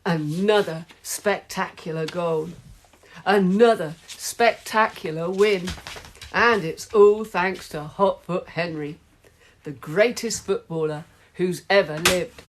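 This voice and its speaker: A woman reading a children's story, voicing an excited male sports commentator with breathless, rising play-by-play. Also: on the low side, slow, slight background noise. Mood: thrilled.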